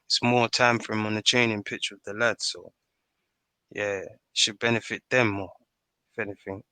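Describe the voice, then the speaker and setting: A man's voice: on the low side, fairly even intonation, normal speed, sharp tone, smooth, no echo. A man giving casual, colloquial football opinions as a podcast guest.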